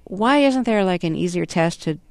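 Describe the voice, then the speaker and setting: A woman's voice: fairly low pitch, expressive, normal speed, a little mellow, no echo. A woman interviewer who has a cold, asking curious, conversational questions with small hesitations. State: has a cold and losing her voice. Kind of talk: radio interview. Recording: dry close-mic studio sound.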